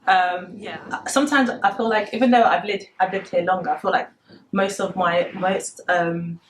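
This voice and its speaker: A woman's voice: medium pitch, steady tone, moderate pace, a little hiss or hum, rough recording. A woman in her twenties from the Democratic Republic of Congo, a native Lingala speaker, speaking hesitantly and reflectively as an interview guest. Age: 20s. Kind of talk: conversational interview, personal recollection.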